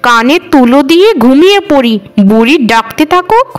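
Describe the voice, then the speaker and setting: A woman's voice: high voice, lively intonation, a little fast, soft background music. A woman voicing a lazy, snobbish, conniving cartoon daughter-in-law, grumbling and smug. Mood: grumbling, scornful. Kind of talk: Bengali cartoon voice acting.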